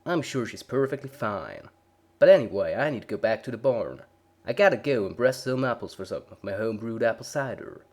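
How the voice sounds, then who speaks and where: A big male farm pony, voiced by a man, talks. He stays placid and pleasant with a quiet menace underneath, as in a dark storybook tale. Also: mid-range voice, expressive, fairly quick, lightly acted. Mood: easygoing. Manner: placid, folksy, pleasant while threatening.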